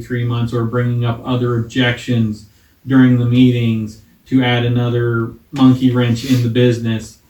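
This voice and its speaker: A man's voice: medium pitch, a little mellow. Plain-spoken American man in echoey room. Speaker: borough council member. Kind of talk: informal public meeting discussion. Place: small-town council chamber, indoors. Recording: echoey room, distant mic, faint noise.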